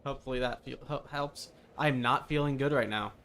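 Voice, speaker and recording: A man's voice: mid-range voice, a little fast, fairly bright tone. Man streaming a game over background noise. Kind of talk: casual live game stream commentary.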